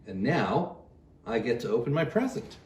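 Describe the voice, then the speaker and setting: A man's voice: mid-range voice, sing-song, normal speed, darkish tone, slight background noise. A man presenting a holiday ritual in simple, explanatory talk aimed at children. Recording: roomy, echoey indoor sound.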